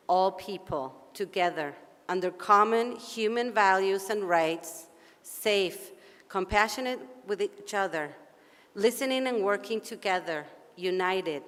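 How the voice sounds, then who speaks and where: Argentine woman moderating in a reverberant hall. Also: mid-range voice. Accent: Argentine Spanish. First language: Spanish. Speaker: session moderator. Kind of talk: formal conference speech. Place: large conference hall.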